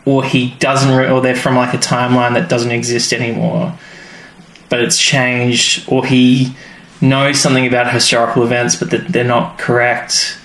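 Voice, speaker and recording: A man's voice: mid-range voice, completely flat delivery, normal speed, fairly bright tone. Chatty man in a roomy space. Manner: rambling, many fillers. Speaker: podcast co-host. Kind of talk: casual chat. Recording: roomy with faint noise.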